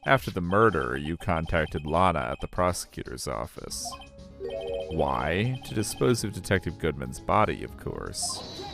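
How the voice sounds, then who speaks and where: A man voicing an earnest young male defense lawyer in a fan dub of a game, speaking in a firm, deliberate courtroom manner. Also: low voice, sing-song, creaky, fairly bright tone, soft background music, heavily acted. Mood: accusing.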